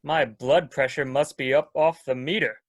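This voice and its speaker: A man's voice: mid-range voice, fairly even intonation, normal speed, dry recording, lightly acted. Hearty, courteous middle-aged general, acted by a man. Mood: hearty courtesy. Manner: formal, hearty, polite. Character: middle-aged jovial courteous military general of a space fleet. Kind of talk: dramatic reading of a science fiction story.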